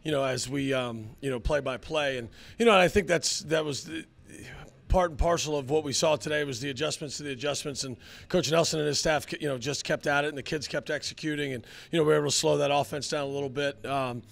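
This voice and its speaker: A middle-aged man, a head football coach, answering postgame questions in a halting way with many fillers, into a handheld mic indoors. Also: fairly high pitch, creaky, a bit bright. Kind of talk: postgame interview. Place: indoor locker room area. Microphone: handheld mic held by interviewer.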